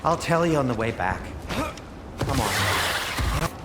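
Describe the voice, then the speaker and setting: Wry, animated, fretful dwarf craftsman. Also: medium pitch, slow pace, music in the background. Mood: hesitant. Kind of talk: naturalistic video game cutscene acting.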